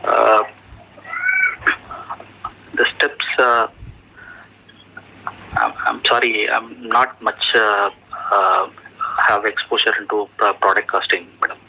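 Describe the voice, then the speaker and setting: A man with an Indian accent answering technical questions as a job candidate over the telephone, halting often and searching for words. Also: lowish voice, flat delivery, vocal fry, husky. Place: telephone call. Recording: muffled, dull telephone interview recording.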